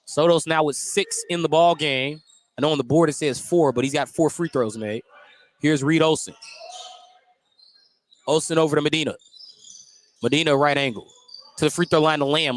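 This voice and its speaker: A man's voice: fairly high pitch, a bit bright, slight background noise. An American man doing live radio play-by-play of a basketball game in clipped, descriptive phrases. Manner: clipped, descriptive running call. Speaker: play-by-play announcer.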